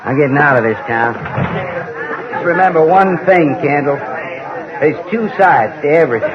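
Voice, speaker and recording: A man's voice: fairly high pitch, expressive, normal speed, close to an everyday voice. Rueful frontier townsman, played by a man, muffled sound. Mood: rueful, bitter. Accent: rural American West. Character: disillusioned, world-weary frontier townsman. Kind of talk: 1950s radio drama acting. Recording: dull old radio recording, crowd noise.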